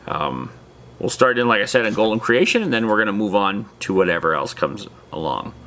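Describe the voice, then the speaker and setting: Male host, lower-pitched voice, informal and enthusiastic, for a loose, chatty hobby explainer. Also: very animated, a little fast, sharp tone, slight background noise. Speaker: host of a tabletop gaming lore video series. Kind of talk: casual explainer talk to viewers.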